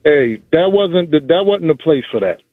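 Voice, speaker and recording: Blunt forty-something caller on a phone line. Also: male voice, fairly high pitch, animated, normal speed. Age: 40s. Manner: emphatic, blunt, says bro a lot. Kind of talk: call-in sports talk. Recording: dry tinny phone line.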